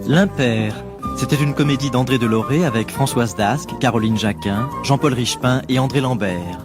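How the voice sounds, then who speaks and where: A man reads radio drama credits as an announcer. His pitch is mid-range and his delivery scripted. Loud music plays behind him. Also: moderate pace. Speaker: announcer reading closing credits.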